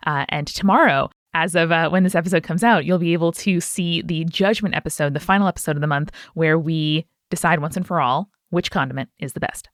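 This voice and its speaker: Dry-sounding, low-pitched woman podcast host. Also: brisk, few pauses, dry recording. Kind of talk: scripted thanks and chatty podcast promotion. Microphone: close podcast microphone.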